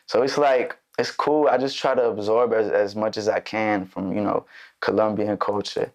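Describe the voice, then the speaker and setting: A man's voice: on the low side, moderate pace. Laid-back young man, rambling studio chat. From Mexico, raised in Chicago. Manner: relaxed, rambling, many fillers and restarts. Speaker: musician guest. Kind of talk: casual interview chat.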